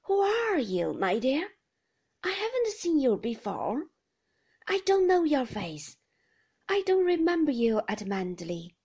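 A woman's voice: high voice, sing-song, unhurried, a little mellow, lo-fi sound, pitched above the performer's own voice, exaggerated voice. A woman voicing a frail, confused old grandmother with a thin, querulous voice who keeps asking the same things. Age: older. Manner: plaintive, repeating herself.

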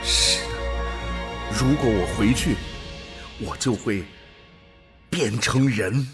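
A man's voice: fairly low pitch, takes it slowly, loud music behind the voice. A man playing a dutiful, awestruck space explorer in a radio drama, with a reflective, emotive delivery. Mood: resolute. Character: dutiful male human explorer, transformed, torn and awestruck. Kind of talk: dramatic radio play acting.

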